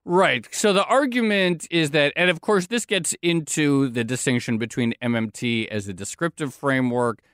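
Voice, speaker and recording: A man co-hosting a podcast, thinking aloud in an animated casual chat. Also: on the high side, normal speed, few pauses, smooth, dry recording, studio quality. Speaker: podcast co-host.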